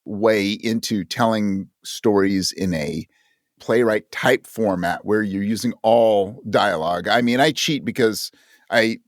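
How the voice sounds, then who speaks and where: A man with a fairly low voice talks as a guest in an interview. He is reflective and thoughtful, often searching for the right words. The sound is dry, with no room echo. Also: a little slow. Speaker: podcast guest, audio drama creator.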